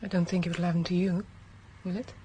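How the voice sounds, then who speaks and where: A woman plays a caring policewoman in a radio crime drama. Her voice is mellow and slightly low, her manner gentle and coaxing. Some background noise sits behind the dialogue. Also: level tone, fast talker, close to an everyday voice. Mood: concerned, coaxing. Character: caring, concerned policewoman, loyal to her colleague.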